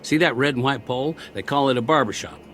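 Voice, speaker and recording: An actor playing a war-weary, talkative soldier in a radio drama, rambling and wry, lonely and eager to please, stammering when eager. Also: male voice, medium pitch, fast, a bit bright, soft background music, close to an everyday voice. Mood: sheepish, friendly.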